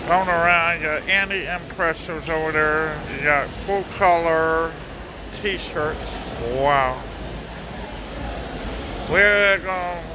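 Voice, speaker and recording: High-voiced man vlogging in a noisy mall. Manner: joking, rambling commentary. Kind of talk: casual walking vlog. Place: busy indoor shopping mall. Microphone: handheld phone camera.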